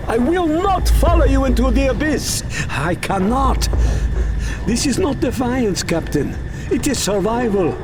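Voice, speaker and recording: An actor playing a loyal but desperate servant in an audio drama, pleading earnestly and formally. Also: male voice, very high voice, sing-song, a little slow, noisy background, heavily acted. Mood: urgent defiance. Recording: music behind the voice.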